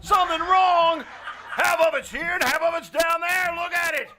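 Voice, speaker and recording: A man plays an exasperated, wealthy neighbor in a vintage-style radio comedy. His high voice blusters and wails with melodrama. The live stage recording is clearly noisy. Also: normal speed, bright, forceful tone. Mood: aghast. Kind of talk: old-time radio comedy acting. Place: small-town theater stage, live performance.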